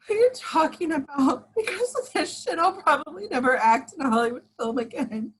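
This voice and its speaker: An upset, complaining young actress played by a woman in a home table read. The voice is shrill and high. A faint noise sits in the background. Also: expressive, a little fast, rough recording. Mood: tearful, indignant. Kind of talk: amateur at-home screenplay table reading.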